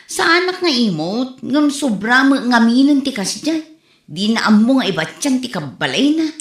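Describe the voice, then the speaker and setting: A woman's voice: a little high, sing-song, normal speed. A woman playing an exasperated, complaining daughter-in-law in a comedy drama. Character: fed-up daughter-in-law complaining about a stingy old father-in-law. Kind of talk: Ilocano comedy radio-style drama. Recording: roomy echo on the voice.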